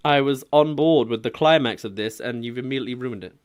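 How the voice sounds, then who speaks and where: A man's voice: medium pitch, music in the background. A young man hosting a reaction video with casual, mocking commentary. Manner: sarcastic, mocking, quick asides. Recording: show audio playing loud underneath.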